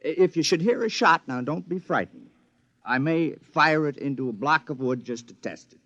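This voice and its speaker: An actor playing a scheming robot double of a husband, smooth-talking with feigned hesitations, in 1950s radio drama style. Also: male voice, fairly high pitch, lively intonation, normal speed, a bit bright, no room sound. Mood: falsely affectionate. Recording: dull vintage radio recording.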